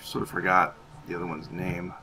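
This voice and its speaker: A man's voice: low-pitched, level tone, a little fast, background noise. A man giving casual, half in-character roleplay commentary over a video game, moving between muttered asides and theatrical remarks. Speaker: gaming video host.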